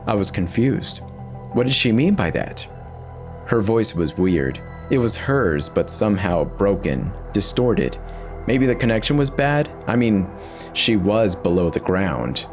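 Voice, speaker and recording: A man giving a dramatic reading of a first-person horror story, somber and confessional, with dramatic pauses. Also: lowish voice, big pitch swings, faint music behind.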